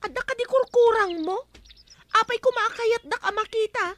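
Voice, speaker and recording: Distraught young lovelorn woman, extremely high whining voice, comedy drama delivery, clear background noise of animals and birds. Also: moderate pace, fairly bright tone. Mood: insistent, suspicious. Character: distraught lovesick young woman searching for her Romeo. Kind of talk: broadcast comedy drama acting.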